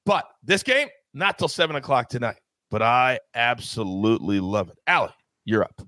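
Male betting-show host, high-energy and showy, crisp bright tone, dead-dry studio sound. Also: expressive, moderate pace, no room sound. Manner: hyped, showy, playful swings in pitch. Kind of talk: sports betting show hosting.

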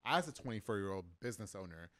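A man's voice: lowish voice, animated, fast, a bit bright, no room sound. A man in his thirties hosting a casual podcast interview in a brash, profane, joking manner. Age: 30s. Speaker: podcast host. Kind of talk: casual chat, interviewing a guest. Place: small room, indoors. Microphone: close studio podcast mic.

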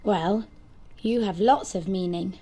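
A woman acting an earnest, naive, literal-minded companion in an audio drama, speaking in a simple, guileless, deliberate way. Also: mid-range voice, mellow, breathy edge. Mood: earnest, wondering. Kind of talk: naturalistic audio fiction acting.

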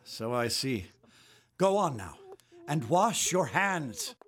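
An actor playing a tired, authoritative middle-aged chief doctor in a period radio drama. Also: male voice, on the high side, sing-song, slow pace, a bit bright, full character voice. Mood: weary.

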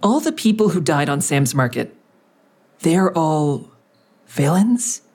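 A woman's voice: low-pitched, expressive, takes it slowly, a little mellow, heavily acted. A woman playing a grim, confrontational investigator in an audio drama, questioning in a terse, dry, needling way. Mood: probing. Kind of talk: audio drama acting with narration.

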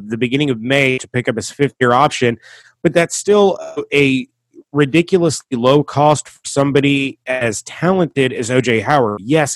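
A man hosting a sports podcast, giving emphatic opinions with rhetorical questions and deliberate stresses on key words. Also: medium pitch, dry recording.

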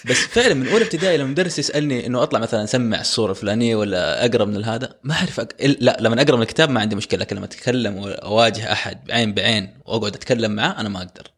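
A young man, co-hosting a casual podcast and telling personal stories in a lively way. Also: on the low side, racing, hardly any pauses, husky. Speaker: podcast co-host.

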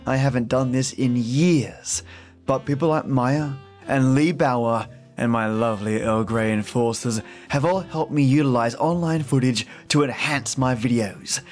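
Male podcast host, medium pitch, theatrical and effusive outro narration over soft guitar music. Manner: dramatic, effusive. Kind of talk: scripted narration, show outro.

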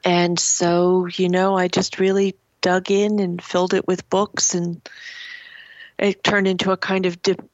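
A woman's voice: lowish voice, little pitch movement, measured pace, dry recording, highs cut off. A middle-aged woman speaking as a writer in a reflective literary interview, articulate, building long lists of phrases. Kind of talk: reflective literary interview, reading from own memoir.

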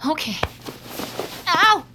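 An actress playing a centuries-old starving vampire woman in an audio drama, anguished and halting, guilt-ridden and desperate. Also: very high voice, sing-song, background noise, heavily acted. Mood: pained. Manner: halting, anguished, breaking into whispers. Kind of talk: intimate audio-drama acting with breathy asides.

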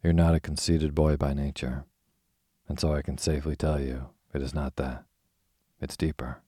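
A man voicing a poised young woman who gently turns down a suitor, speaking in a soft, reproving way. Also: very deep voice, little pitch movement, moderate pace, husky, no echo, studio quality. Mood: gentle reproof.

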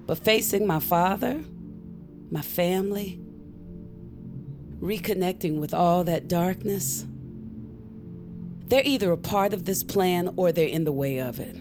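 Intense witchy spellcaster, wry, lowish creaky voice, audio drama with music behind. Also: measured pace, pauses often. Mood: grave, resolved. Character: Hattie, secretive female spellcaster, intense and sardonic.

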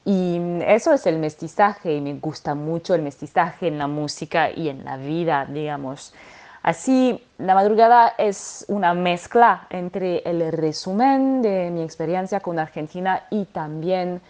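A woman's voice: fairly low pitch, measured pace, darkish tone. A French woman singer speaking Spanish with a French accent, answering interview questions with many hesitations and restarts. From France.

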